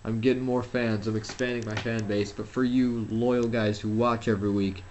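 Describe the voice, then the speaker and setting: Rambling young man, low voice. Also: flat delivery, normal speed, a little mellow, muffled recording, slight background noise. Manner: rambling, self-deprecating, frequent fillers and restarts. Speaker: gameplay commentator. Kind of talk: casual solo game commentary.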